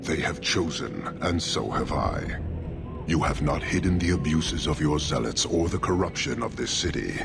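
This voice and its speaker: An actor voicing a stern, imperious giant warrior commander in a video game, speaking in terse, grave pronouncements. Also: male voice, a bass voice, expressive, normal speed, creaky, heavy background noise, exaggerated voice. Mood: stern, unyielding. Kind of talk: video game cutscene acting. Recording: loud music under the voice.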